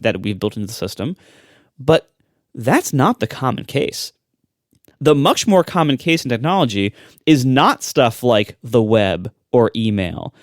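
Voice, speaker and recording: Brief: male podcast co-host, animated, skeptical, swinging intonation, dry. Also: mid-range voice, dry recording. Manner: animated, emphatic, skeptical ranting. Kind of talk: casual tech chat.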